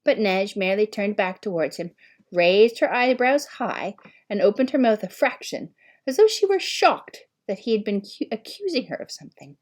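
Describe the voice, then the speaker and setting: A woman reading a children's novel aloud as scripted narration, with an expressive, measured storytelling manner. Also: mid-range voice. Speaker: audiobook reader.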